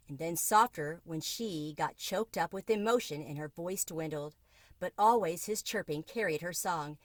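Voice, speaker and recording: A woman's voice: lowish voice, no room sound, studio quality. A woman reading a children's book aloud as a storyteller.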